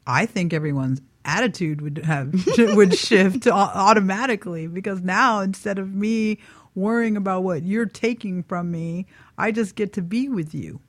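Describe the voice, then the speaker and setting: Woman, mid-pitched voice, dry sound, informal panelist, casual reflective conversation, easygoing delivery. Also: normal speed, dry recording. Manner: informal, laughs easily while talking. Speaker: radio talk show panelist.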